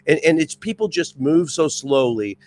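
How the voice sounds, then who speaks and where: A middle-aged man with a worn, raspy voice talks in a casual podcast chat. He comes across intense and restless, his words tumbling out with plenty of restarts. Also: a little high, animated, moderate pace, a little mellow. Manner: intense, amped-up, restless talker. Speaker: podcast guest, retired Marine first sergeant.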